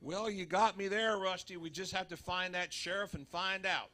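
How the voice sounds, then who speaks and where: A man acts a folksy urban cowboy in a radio comedy. His voice is fairly high and strikingly sharp, and he talks like an old western sidekick, chiding his partner. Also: moderate pace. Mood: resigned, folksy. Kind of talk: live radio comedy sketch acting.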